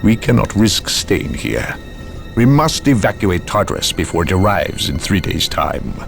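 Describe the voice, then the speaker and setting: A low, gravelly inquisitor from a video game, cold and cutting. His formal, condescending phrases rise and fall widely over faint music. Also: very animated, unhurried, heavily acted. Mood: grave warning. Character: imperious, cautious inquisitor, a cold and cutting man. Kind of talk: video game cutscene acting.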